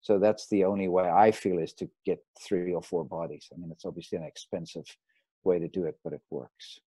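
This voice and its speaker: Low, dark-voiced man on a video call. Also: a little fast, slightly rough, no echo. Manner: rambling, relaxed, with fillers and restarts. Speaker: marine photographer giving a lecture. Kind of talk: casual slideshow talk. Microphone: video call mic.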